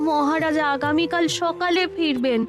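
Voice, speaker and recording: Cartoon dutiful maiden, played by a woman: high voice, gentle, earnest, deferential, faint music. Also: normal speed, lightly acted. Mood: concerned, polite. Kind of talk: cartoon voice acting.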